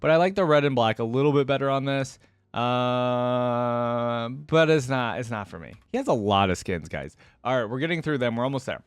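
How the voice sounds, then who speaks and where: Animated, casual man giving reaction commentary. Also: medium pitch, normal speed, smooth, dry recording. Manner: informal, opinionated, hedging his opinions. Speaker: reaction video host.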